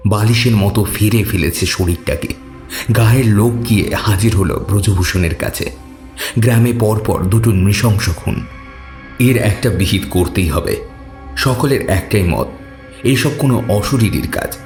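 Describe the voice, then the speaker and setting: A man narrates a horror audio story in a deep voice. His delivery is scripted, measured and full of suspense. Music plays behind him. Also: a little mellow.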